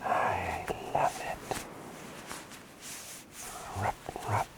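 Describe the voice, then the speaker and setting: A man playing an eccentric, scatterbrained but gentle plague doctor in a soft, soothing, rambling whisper for an ASMR roleplay. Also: drawn-out words, heavy background noise. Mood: soothing, pleased. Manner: rambling, soothing, softly repeating words. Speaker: ASMR performer.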